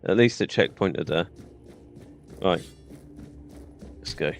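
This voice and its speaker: British guy doing a lets play, lowish gravelly voice, chatty, game music behind. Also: slow. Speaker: gaming channel host. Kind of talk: casual gameplay commentary.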